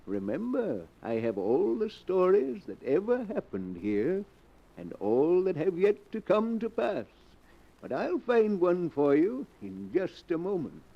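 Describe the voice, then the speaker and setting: An actor playing the sly, cackling Keeper of the Book, who hosts a 1940s radio drama with slow, theatrical narration. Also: male voice, high-pitched, wildly swooping pitch, gravelly, exaggerated voice. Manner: cackling, theatrical, drawn-out delivery. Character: eerie old male Keeper of the Book, sly host. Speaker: narrator host. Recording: dull old radio recording with music.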